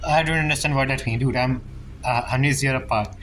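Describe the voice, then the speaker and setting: A man's voice: mid-range voice, fairly even intonation, brisk, a bit bright, slight background noise. A man giving casual, informal playthrough commentary as a game streamer, with non-native English phrasing.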